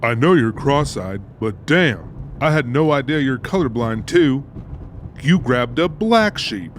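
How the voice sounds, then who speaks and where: A man's voice: mid-range voice, animated, unhurried, a little hiss or hum, close to an everyday voice. An actor playing a dry, wry, plainspoken country man in an audiobook drama. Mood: exasperated. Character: Joe, dry, easygoing country man, anxious but decent.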